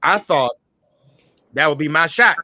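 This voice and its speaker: A man's voice: on the high side, unhurried, sharp tone, no echo. Male interview host, close dry sound. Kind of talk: casual conversational interview.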